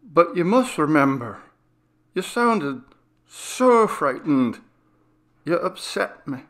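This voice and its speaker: A man's voice: a little high, big pitch swings, takes it slowly, fairly bright tone, pitched above the performer's own voice, heavily acted. A man voicing a worried mother fussing over her feverish son in a read-aloud ghost story. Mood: pleading. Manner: anxious, pleading.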